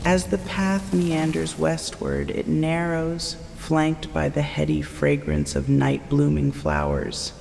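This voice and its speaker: Male narrator, gravelly, solemn, measured, dramatic gothic storytelling, long reverb, loud music behind. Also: on the high side, level tone, a little hiss or hum. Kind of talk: atmospheric gothic scripted narration.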